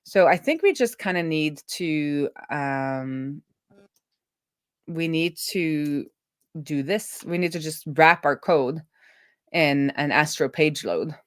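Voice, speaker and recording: Low-voiced woman thinking aloud while coding. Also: no echo, clean studio sound. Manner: halting, trailing off mid-thought and self-correcting. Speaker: developer hosting a live coding stream.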